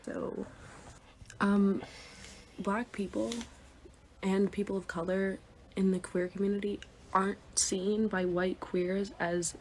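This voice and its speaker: A woman in a social media clip speaking deliberately, holding back frustration as she shares her view. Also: medium pitch, little pitch movement, darkish tone, a little hiss or hum. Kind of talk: personal opinion clip.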